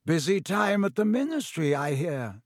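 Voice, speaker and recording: A man voicing a cold, sneering aristocratic villain with a slow, disdainful drawl. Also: on the high side, sing-song, dry recording, clean studio sound, voice pushed up.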